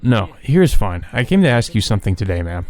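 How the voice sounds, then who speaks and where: A man voicing a polite, reserved young man, the story's lead, who speaks quietly and carefully. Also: lowish voice, sing-song, a little fast.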